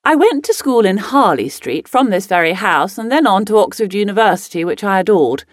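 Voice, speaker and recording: A woman's voice: medium pitch, animated, brisk, no echo, clean studio sound, natural voice. An actress playing a spirited, headstrong upper-class lady explorer who looks back in a genteel, emphatic dramatic monologue. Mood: spirited, frustrated. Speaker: actor reading a monologue. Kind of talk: scripted first-person dramatic monologue.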